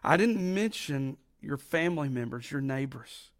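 A middle-aged man with a raspy voice from allergies, preaching a folksy, emphatic sermon with slow drawn-out stresses. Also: medium pitch, animated. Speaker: pastor.

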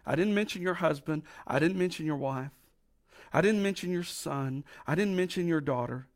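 A middle-aged man with a raspy voice from allergies, preaching a folksy, emphatic sermon with slow drawn-out stresses. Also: fairly high pitch. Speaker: pastor.

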